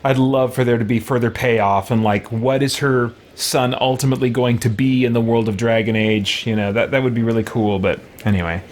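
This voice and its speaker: A man's voice: medium pitch, steady tone, fairly quick, few pauses. Musing man commentating over noisy game audio. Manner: musing, thinking aloud. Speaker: video game streamer. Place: small home room lined with shelves.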